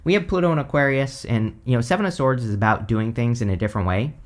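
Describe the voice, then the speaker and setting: A man giving a casual tarot reading, hoarse because he is losing his voice, rambling with lots of "like" and "you know". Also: mid-range voice, fast. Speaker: tarot reader.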